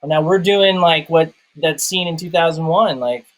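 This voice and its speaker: High-voiced man rambling about movies. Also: moderate pace. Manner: rambling, searching for words. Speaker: film review co-host. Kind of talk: casual movie discussion.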